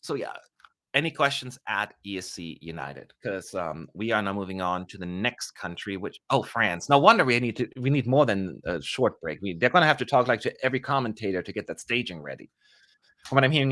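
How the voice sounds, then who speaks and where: A young man talks through a live stream in a loose, joking way, tossing off quick asides to his viewers. His voice is bright and his pitch swings widely as he chats. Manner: chatty, animated, quick asides and playful jokes. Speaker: livestream host. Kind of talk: casual live commentary.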